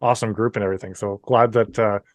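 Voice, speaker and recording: A man's voice: on the low side, fairly even intonation, fast, no room sound. A man in his thirties from New Brunswick with a Canadian accent, telling his story as an interview guest in a friendly, chatty way. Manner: friendly, chatty, frequent "you know" asides.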